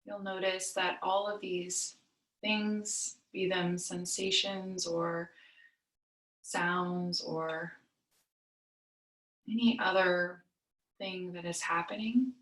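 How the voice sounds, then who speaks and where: Female meditation guide, very slow and soothing, narrow pitch movement, long pauses, recorded at a distance from the mic. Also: little pitch movement, fairly bright tone. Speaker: yoga nidra meditation teacher. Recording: roomy echo, distant mic.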